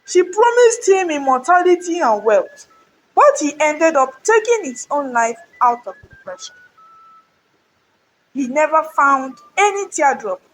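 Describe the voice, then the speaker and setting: A woman's voice: sits high, very animated, unhurried, low-quality recording. A woman voicing a mighty, defiant male folktale hero who speaks solemnly and with authority. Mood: solemn, authoritative.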